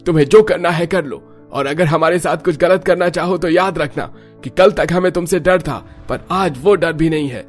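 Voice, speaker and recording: A man playing an indignant, defiant villager in a cartoon story, forceful and declamatory. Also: a little high, moderate pace, soft background music, close to an everyday voice. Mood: defiant, angry.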